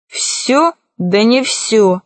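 A woman's voice: a little high, animated, very slow, no room sound. A woman voicing a bossy, scornful male pirate rat villain in a children's storybook. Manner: commanding, scornful.

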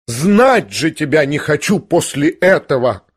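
A man's voice: on the high side, animated, unhurried, slightly rough. A man reading an audiobook, voicing an older noblewoman who scolds and lashes out in indignant outbursts. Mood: indignant scolding.

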